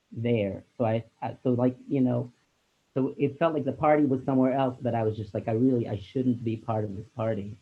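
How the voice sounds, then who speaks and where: A man reflecting in a casual, rambling way in a discussion, leaning heavily on "like.". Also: lowish voice. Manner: casual, rambling, heavy use of "like". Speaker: workshop participant. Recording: tinny phone-like audio.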